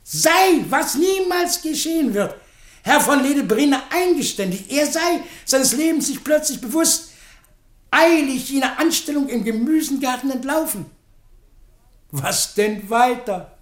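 An actor playing an indignant, sarcastic defence lawyer in a radio play, declaiming pompously and swinging from hushed tones to shouts. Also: male voice, very high-pitched, roomy sound, exaggerated voice. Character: theatrical, indignant, sarcastic male defence lawyer pleading in court. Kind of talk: theatrical German radio play oratory.

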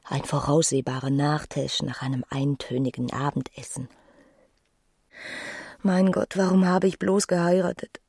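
An actress playing a young, restless and disdainful wife in a brooding radio play monologue. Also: female voice, low-pitched, steady tone, moderate pace, a little mellow, breathy edge, no echo, studio quality. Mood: bitter, brooding.

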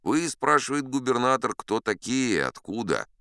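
A man's voice: mid-range voice, sing-song, moderate pace, pushed and bright, smooth, no room sound, clean studio sound, pitched above the performer's own voice. A man voicing a huge, pompous and flustered male governor in an audiobook, with a bossy, officious manner. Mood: haughty. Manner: bureaucratic, officious. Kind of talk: audiobook character acting.

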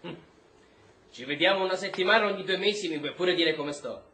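An actor playing a volatile, domineering army officer and husband in a stage-style drama, coarse and contemptuous. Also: male voice, sits high, fairly quick. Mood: weary, irritable. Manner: coarse, contemptuous, erupting into shouts. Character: volatile, bitter, domineering military husband, prison camp officer. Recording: roomy echo, faint noise.

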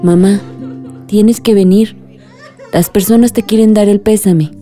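A woman's voice: mid-range voice, fairly even intonation, measured pace, lightly acted. A woman playing a loving, resolute young daughter in a radionovela, speaking earnestly and tenderly. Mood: gently urgent. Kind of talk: radionovela acting. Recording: clear guitar music behind the voice.

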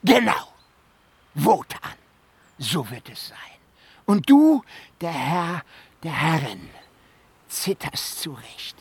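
An actor playing a bitter, scheming dwarf villain in a fantasy radio play, breathy and hissing, often dropping into whispers. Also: male voice, fairly high pitch, huge pitch swings, no echo, heavily acted. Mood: gloating, menacing. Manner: gloating, theatrical, drawn-out menace.

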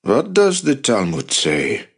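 A man's voice: low voice, moderate pace. A man voicing a determined, impassioned male resistance fighter in an audiobook, earnest, blunt and persuasive.

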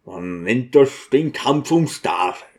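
A man's voice: mid-range voice, expressive, slow pace. A man voicing an eager, boastful deacon in an audiobook, using affected, oddly stressed expressions. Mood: lecturing. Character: eager, boastful deacon showing off newly learned city slang.

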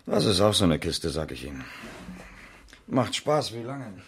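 A by-the-book police investigator in a radio crime play. The voice is low, the delivery dry and official, with a grumbling undertone of duty. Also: male voice, sing-song, unhurried, slight background noise, heavily acted. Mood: irritated, grumbling. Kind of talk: naturalistic 1970s German radio crime drama acting.

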